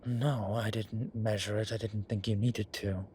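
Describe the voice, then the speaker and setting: A man playing a flirtatious, cheeky incubus demon in an audio roleplay, talking in a teasing, suggestive way with drawn-out pauses. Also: lowish voice, fairly even intonation, dark tone, slight background noise, close to an everyday voice. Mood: defensive bickering. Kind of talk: audio roleplay acting, one-sided conversation.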